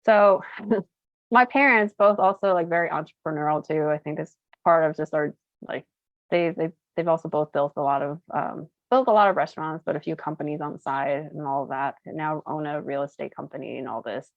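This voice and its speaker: Chatty woman in her thirties, low voice. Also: brisk, no room sound, dull audio. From United States (Colorado). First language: Cantonese. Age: 30s. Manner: chatty, uses many fillers and laughs easily. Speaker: interview guest, entrepreneur. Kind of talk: casual chat, storytelling.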